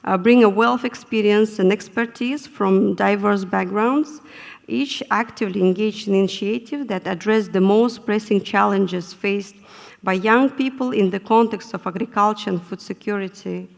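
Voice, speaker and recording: Woman moderator, gravelly edge, formal and hesitant, reverberant hall. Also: mid-range voice. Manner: measured, with frequent hesitations. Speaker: panel moderator. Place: conference hall. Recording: echoey conference hall.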